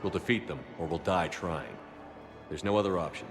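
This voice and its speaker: An actor playing a steady human soldier commander in a video game cutscene, speaking in a calm, dry, deadpan way. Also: male voice, low-pitched, moderate pace, music in the background.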